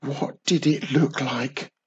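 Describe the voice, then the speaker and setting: A storyteller voicing Wizardy Witch, a cheerful young witch who is proud of how fast she is, in a high, quick, eager voice. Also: steady tone, darkish tone, slightly rough, muffled recording. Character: cheerful young witch, boastful about her speed. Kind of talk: storybook reading.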